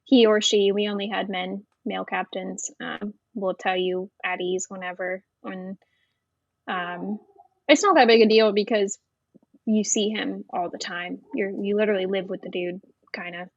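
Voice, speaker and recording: A woman's voice: mid-range voice, steady tone, moderate pace, dry recording, highs cut off. A woman, a Navy veteran, chatting frankly and casually as a podcast guest, with many fillers. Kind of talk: casual interview chat.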